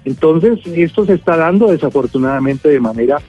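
Male expert guest, Colombian accent, husky and slightly high, emphatic explainer over a thin phone-quality connection. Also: lively intonation, brisk. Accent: Colombian Spanish. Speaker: genetics expert, interview guest. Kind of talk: radio interview, explaining. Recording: narrow phone-like line, music.

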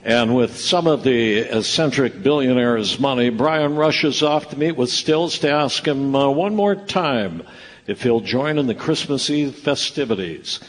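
A man's voice: medium pitch, animated, measured pace, a little hiss or hum, close to an everyday voice. Man as radio play narrator in reverberant hall. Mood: storytelling. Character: male storyteller narrator of a holiday comedy. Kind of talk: scripted narration. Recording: reverberant hall, music underneath.

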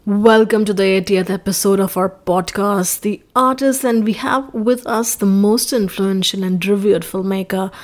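A woman's voice: mid-range voice, moderate pace, hardly any pauses. A woman with a Punjabi accent, hosting a podcast and narrating from notes in an earnest, informative way, with light asides. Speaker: podcast host.

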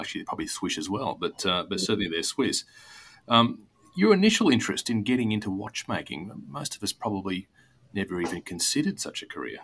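A man's voice: lowish voice, fairly bright tone, slightly rough. A man hosting an interview, putting conversational questions to a guest. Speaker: interviewer, host.

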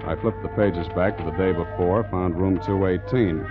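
An actor playing a tough, wary American sea captain and narrator in a 1940s radio drama, with a clipped, wry delivery. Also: male voice, low-pitched, fairly even intonation, normal speed, natural voice. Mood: dry, hard-boiled narration. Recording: dull old radio recording, organ music.

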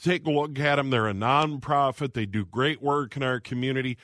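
A man hosting a radio call-in show from a studio, reading out phone numbers slowly and making brisk station announcements. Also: mid-range voice, a bit bright, dry recording. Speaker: radio host.

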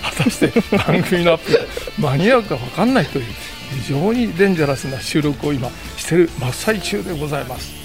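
A man's voice: expressive, fast, over music. Chatty older man hosting outdoors. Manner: polite, chatty, speaks in a lowered voice. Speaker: podcast host. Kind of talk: casual chat on location. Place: outdoors on a street, then an indoor gallery. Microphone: handheld mic held out by a staffer.

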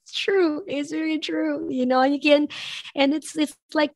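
A woman with a raspy voice, a coach talking reflectively in a podcast conversation, with many "you know" asides. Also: sits high, steady tone, normal speed, dry recording. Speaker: possibility coach.

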